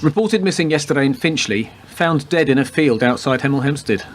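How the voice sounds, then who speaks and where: A man playing a laconic, deadpan police detective with a macabre wit in a radio play. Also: on the high side, brisk, slight background noise, close to an everyday voice. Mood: wry, matter-of-fact. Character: wisecracking male police detective with macabre humour. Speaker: actor in a community radio play. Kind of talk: radio drama dialogue.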